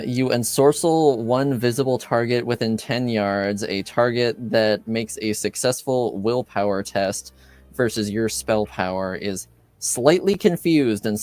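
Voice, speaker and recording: A man's voice: fairly low pitch, darkish tone, no room sound. A man acting as game master, casually explaining rules and narrating a tabletop game.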